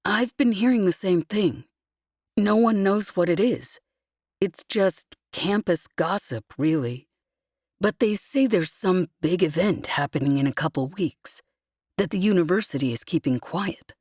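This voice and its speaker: A woman's voice: lowish voice, expressive, a little slow, darkish tone, husky, no echo, clean studio sound. A woman voicing a casual campus insider with an offhand, matter-of-fact manner, in an audiobook.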